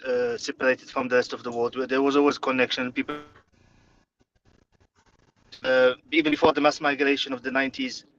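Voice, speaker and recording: A man's voice: medium pitch, little pitch movement, a little fast, pauses often, fairly bright tone, dull audio, slight background noise. A man from Morocco whose first language is Arabic, speaking hesitantly with many fillers and restarts as an interview guest. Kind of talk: conversational interview.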